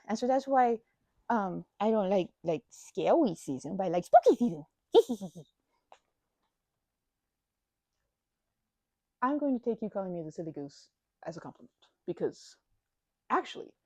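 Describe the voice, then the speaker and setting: A woman playing a goofy, caring, scatterbrained best friend in a casual ASMR roleplay, with soft, rambling, halting speech. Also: medium pitch, no echo. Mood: playful. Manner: rambling, halting, self-interrupting, giggly.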